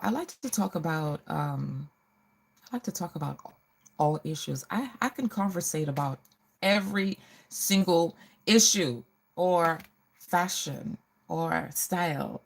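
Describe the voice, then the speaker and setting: A woman's voice: on the low side, creaky, slight background noise. A middle-aged woman hosting a casual get-ready livestream, chatting to viewers and often repeating her phrases.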